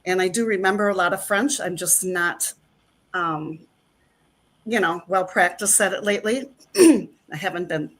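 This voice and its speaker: Middle-aged woman, slightly low pitch, chatty, rambling, casual interview guest. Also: measured pace, fairly bright tone. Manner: chatty, rambling, many fillers. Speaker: actress and guest.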